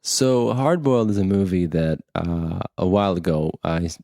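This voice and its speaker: A man with a very deep voice co-hosts a film podcast. He chats casually and complains with dry exaggeration. His voice is recorded close and dry, with no room around it. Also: moderate pace, creaky, mellow, dry recording. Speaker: podcast co-host. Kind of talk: casual chat about films.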